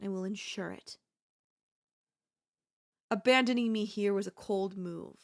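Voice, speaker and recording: A woman's voice: mid-range voice, measured pace, creaky. A woman playing an obsessive, scheming yandere in a theatrical, haughty manner with formal phrasing, in an ASMR audio roleplay. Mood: coldly possessive, admiring.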